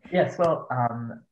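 A man's voice: medium pitch, lo-fi sound, a little hiss or hum. A male translator guest talking about books in a thoughtful, hesitant way with many ums. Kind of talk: casual podcast interview.

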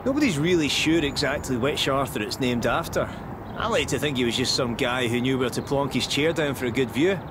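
An actor playing an easygoing, wry festival organiser, casually narrating in a video game, chatty and self-deprecating. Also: male voice, mid-range voice, expressive, brisk, background noise, natural voice. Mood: playful. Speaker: video game character guide narrating landmarks.

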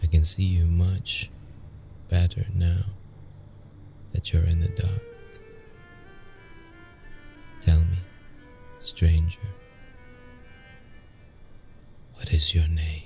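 Man acting a slow, gravelly, brooding vampire. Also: completely flat delivery, faint music behind, full character voice. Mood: coaxing, intimate. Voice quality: gravelly, low, mellow. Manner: slow, halting, intimate delivery. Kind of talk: ASMR audio roleplay acting.

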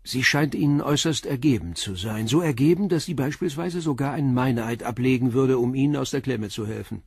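An actor playing an unflappable police inspector in a crime radio play, putting terse, calm, probing questions. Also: male voice, medium pitch, fairly quick, gravelly, breathy edge, no echo, studio quality. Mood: dry, insistent. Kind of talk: German radio crime drama acting.

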